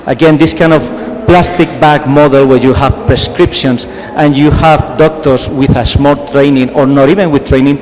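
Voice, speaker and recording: A middle-aged man from Spain with a strong Spanish accent, giving a conference talk in halting English, repeating words and putting questions to the audience. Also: mid-range voice, steady tone, darkish tone. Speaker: conference speaker, physician. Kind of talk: conference presentation. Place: large conference hall. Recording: hall reverb, faint background ambience.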